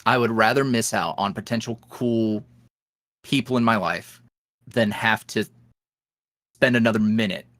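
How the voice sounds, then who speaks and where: A man's voice: measured pace, long pauses, dry recording, clean studio sound. A young man, an ASMR roleplay creator, chatting candidly as a guest in an interview. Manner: candid, animated, jumps up in pitch when quoting others. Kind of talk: casual interview chat.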